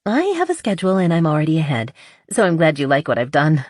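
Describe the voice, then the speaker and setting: A woman's voice: low voice, lively intonation, quick pace, a little mellow, no echo, studio quality. A woman narrator takes on a young female artist in a romance audiobook. She sounds friendly and open, with a curious way of speaking. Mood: pleased.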